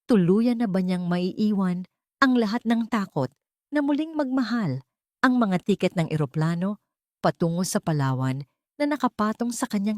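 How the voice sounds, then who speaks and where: A woman's voice: mid-range voice, darkish tone, no room sound, studio quality. A woman with a Filipino accent narrating a dramatic story from a script.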